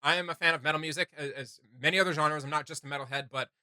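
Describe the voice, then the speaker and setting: A man co-hosting a casual reaction video, talking in an animated, rambling way and searching for words aloud. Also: a little high, very fast, no room sound. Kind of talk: casual rambling discussion to viewers.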